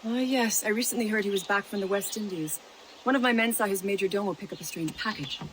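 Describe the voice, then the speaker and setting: A woman plays a well-informed assassin in a game cutscene. She talks quickly, in a brisk and matter-of-fact manner. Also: medium pitch, slight background noise, lightly acted, rough recording. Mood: brisk, informative. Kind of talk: dramatic video game cutscene acting.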